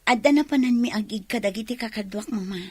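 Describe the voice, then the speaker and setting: A woman's voice: fairly high pitch, fast talker, darkish tone, slightly rough, no echo. A woman with an Ilocano Filipino accent playing a defiant young daughter in a radio drama. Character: defiant young daughter who loves singing.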